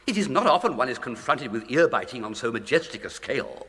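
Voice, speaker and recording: An actor playing a flippant, idle young English gentleman with a breezy, plummy, upper-class accent and exclamatory period slang, in a British radio comedy. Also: mid-range voice, very animated, fast. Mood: baffled, indignant. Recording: long echoing room reverb.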